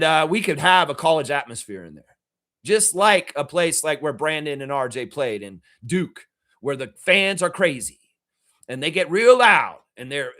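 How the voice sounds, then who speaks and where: A man with a somewhat raised voice, raspy and worn from yelling all night. He rants about fandom with blunt swearing and keeps circling back to the same emphatic phrases. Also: on the high side. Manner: emphatic, profane, repeats phrases. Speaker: fan channel host. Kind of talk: fired-up fan reaction rant.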